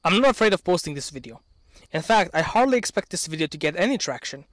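A young man speaks in a fairly high, light voice into a handheld mic out in an open field. His delivery is earnest and measured, slipping into dry, sardonic asides. The sound is close and dry, with no room around it. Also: big pitch swings, a bit bright, dry recording. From Bosnia and Herzegovina. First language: Serbian. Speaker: channel owner. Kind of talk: prepared opinion speech. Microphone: handheld microphone.

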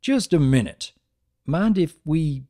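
A big male farm pony as a narrator acts him out in a cartoon story. He is gentle and plainspoken. His talk is folksy, brief and earnest. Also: medium pitch, animated, slow.

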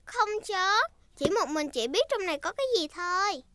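A woman's voice: very high-pitched, lively intonation, normal speed, background noise. A woman dubbing a bossy, teasing little girl piglet in a cartoon, giggly and sure of herself. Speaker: cartoon character. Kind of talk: Vietnamese cartoon dub. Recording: clean studio dub over music.

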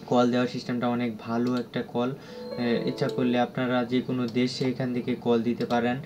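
A man with a Bangladeshi accent giving an unscripted app walkthrough as a tutorial presenter, speaking in a halting, repetitive way. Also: medium pitch, completely flat delivery, music in the background. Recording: dull lo-fi audio with clear noise.

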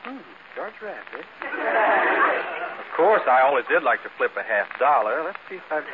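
A vain boss, bashfully self-pleased and hemming in a lively voice. This is 1940s radio comedy on a tinny, noisy recording. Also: male voice, fairly high pitch, moderate pace. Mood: flattered, bashful. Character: vain, bashfully flattered male boss of the house.

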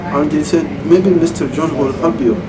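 A man in his thirties with a Saudi Arabian accent, a former student answering interview questions in halting English with frequent restarts, recorded in a computer lab. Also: a little high. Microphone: far camera mic. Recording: distant camera mic, air conditioning hum.

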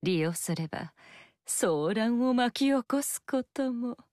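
A scheming, seductive fox spirit in a video game, coy and languid. She speaks slowly, her pitch rising and falling in a lilting way. The studio sound is dry and close. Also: animated, darkish tone, no echo.

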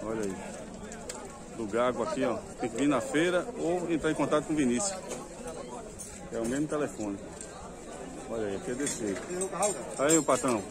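A man's voice: medium pitch, moderate pace, pauses often. A man with a Northeastern Brazilian accent hosting an informal vlog at a livestock fair, talking in short exclamatory catchphrases. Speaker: vlog host. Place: outdoor animal fair yard. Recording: outdoor fair with loud crowd chatter. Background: loud crowd.

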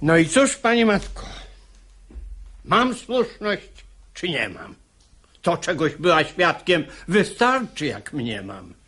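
Wronged household master: middle-aged, fiery, slow delivery with big swings, radio verse comedy. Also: male voice, a little high, very animated, pushed and bright, husky, exaggerated voice. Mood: indignant. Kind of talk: verse stage comedy performed as radio drama.

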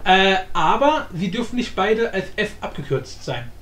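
A man hosting a casual, unscripted software tutorial, thinking aloud informally and repeating himself. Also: high-pitched, very animated, normal speed. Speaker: tutorial host. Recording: roomy echo with faint background noise.

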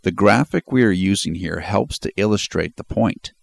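A man reading a scripted voice-over in a formal, measured, explanatory way. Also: fairly low pitch, creaky, no echo. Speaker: channel narrator.

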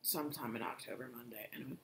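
A young woman with a raspy voice, co-hosting a podcast in casual, wry chat. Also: rumbling low, rapid-fire, fairly bright tone. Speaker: podcast co-host, teacher. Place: living room couch, indoors. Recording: echoey room.

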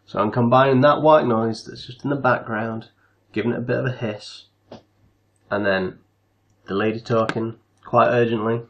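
A man hosting a casual software tutorial, explaining things step by step. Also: medium pitch, fairly even intonation, normal speed, highs cut off, a little hiss or hum. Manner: step-by-step instructional. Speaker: tutorial host.

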